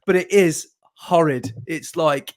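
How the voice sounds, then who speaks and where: Bloke co-hosting, a bit higher voice but hoarse from being sick, jokey and upbeat. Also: male voice, takes it slowly. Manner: jokey, animated, playful. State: hoarse and deeper than usual from illness. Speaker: podcast co-host. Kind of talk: casual chat.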